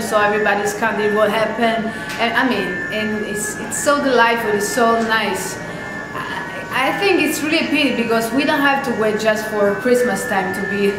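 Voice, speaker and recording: Woman, Neapolitan accent, native Italian, hunts for words, echoey museum room. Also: fairly high pitch. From Naples, Italy. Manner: searching for English words. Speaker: interviewee, jazz student. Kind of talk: first-person interview.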